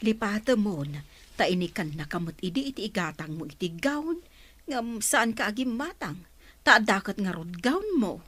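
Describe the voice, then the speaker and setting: Reproachful mother, Ilocano accent, lively pitch swings, dry close sound, radio drama. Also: moderate pace, dry recording. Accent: Filipino, Ilocano. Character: nagging mother of a grown daughter.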